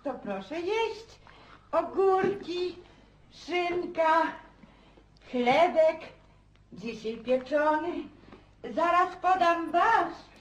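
An actress playing a meek, hospitable country wife in a radio drama, submissive and eager to serve. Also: female voice, very high voice, animated, extremely slow, long pauses, heavily acted. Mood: meekly hospitable. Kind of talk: Polish radio drama acting. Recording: roomy, distant, faint background noise.

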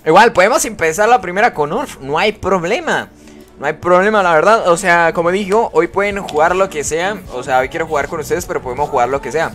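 Man, streamer, casual chat, reads chat aloud, slightly high voice, faint background music. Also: fairly quick, fairly bright tone. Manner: chatty, greets viewers and reads chat aloud. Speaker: live streamer playing with followers.

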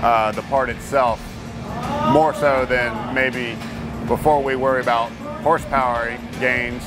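A man's voice: mid-range voice, steady tone, unhurried. A man in his forties from a race team talking about engine testing, casual and hesitant with many fillers. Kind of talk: promotional interview. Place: indoor race shop with bike behind. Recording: loud music behind.

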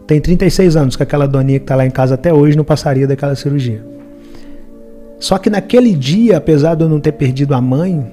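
Podcast guest, forties, Minas Gerais Brazilian accent; earnest storyteller with a mellow voice pitched a bit high, faint music underneath. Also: male voice. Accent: Minas Gerais Brazilian Portuguese. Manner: earnest storyteller, acts out quoted dialogue. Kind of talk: personal life story told in a podcast interview. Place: studio with brick wall and purple lights. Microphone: studio microphone on a boom arm.

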